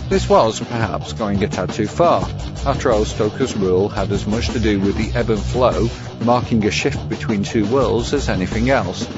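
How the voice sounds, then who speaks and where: A man with a low voice reads scripted commentary in a wry, bookish way. Music plays clearly behind him, and the sound is slightly dull. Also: moderate pace. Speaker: guest segment presenter. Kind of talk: scripted commentary on vampire lore.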